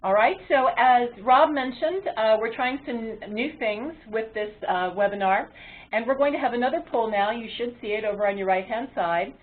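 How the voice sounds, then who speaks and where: A woman presenting a webinar as its moderator, explaining to an online audience in a halting delivery with frequent ums and uhs. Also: a little high. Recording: muffled, phone-like webinar audio line.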